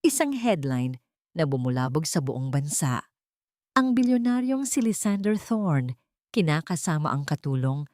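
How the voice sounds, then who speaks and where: A woman's voice: on the low side, a little mellow, no echo. A woman narrating an audiobook with dramatic, scripted delivery.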